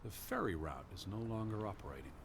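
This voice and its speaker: An actor playing an earnest, cautious, bookish American scholar in a 1920s-set video game, speaking properly and with measured care. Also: male voice, low-pitched, sing-song, full character voice. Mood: pondering. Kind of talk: naturalistic video game voice acting. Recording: clear background ambience noise.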